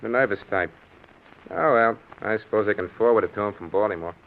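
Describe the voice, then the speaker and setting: An actor playing a brisk, casual night warehouse clerk, matter-of-fact, in a 1940s radio drama. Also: male voice, lowish voice, over loud music, natural voice. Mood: offhand. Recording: narrow, tinny 1940s radio recording.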